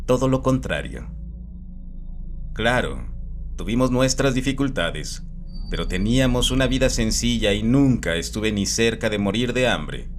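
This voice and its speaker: A man calmly reading a scripted first-person horror story, steady and measured. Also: on the low side. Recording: soft background music.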